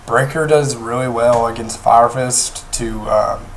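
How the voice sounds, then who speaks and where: A young man with a hoarse voice, talking casually through a card game deck, rambling with many pauses and restarts. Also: mid-range voice, fairly even intonation, a little hiss or hum, poor audio. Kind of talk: casual deck profile talk. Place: indoors at a table, off camera.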